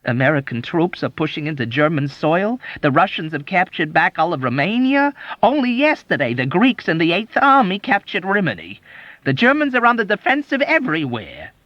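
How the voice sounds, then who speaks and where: A man's voice: high-pitched, wildly swooping pitch, slow pace, no echo, pitched above the performer's own voice, full character voice. A man voicing a whining, self-pitying male army doctor in a plaintive, complaining voice. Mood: aggrieved. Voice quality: whiny, plaintive. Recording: dull dry audiobook recording.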